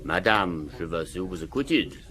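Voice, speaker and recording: Gossipy village innkeeper, lively intonation. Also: male voice, deep voice, normal speed. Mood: dry, gossipy. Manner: dry, knowing, teasing. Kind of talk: radio drama acting.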